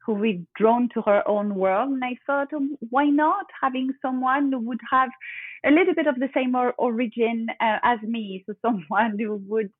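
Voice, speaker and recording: A French-accented woman speaks over a thin, phone-like line with a dry, close sound. She talks casually and thoughtfully, pausing to hunt for English words. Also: a little high, dry recording. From France. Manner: thoughtful, searching for English words, laughs often. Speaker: guest author. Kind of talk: remote interview, casual.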